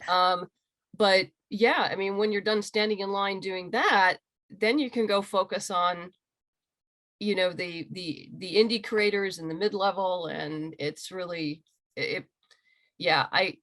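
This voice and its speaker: A woman's voice: medium pitch, steady tone, measured pace, a bit bright, no echo. A woman talking casually and emphatically as an interview guest on a video call, with an enthusiastic manner. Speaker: interview guest, comic book writer and creator. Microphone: video call microphone.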